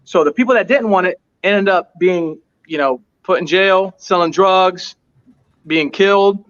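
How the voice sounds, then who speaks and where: A man's voice: high-pitched, measured pace, a bit bright, muffled recording. A man who owns a restaurant, telling his story as an interview guest with emphatic, earnest repetition. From United States. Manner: emphatic, repeats points for stress.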